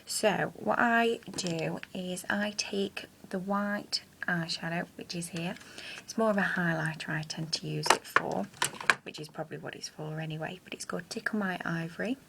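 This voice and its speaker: A young woman with a croaky voice, chatting casually through a makeup tutorial at home with hesitant fillers. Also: lowish voice, normal speed, very noisy. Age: young adult. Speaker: makeup vlogger. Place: small room at home, indoors. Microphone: built-in camera mic at close range.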